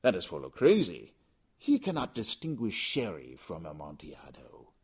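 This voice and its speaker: A man's voice: sits low, wildly swooping pitch, moderate pace, darkish tone, slightly rough, full character voice. A man voicing a drunken, proud Italian wine connoisseur in a storybook reading, exclamatory, boastful and impatient. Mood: boastful, insistent.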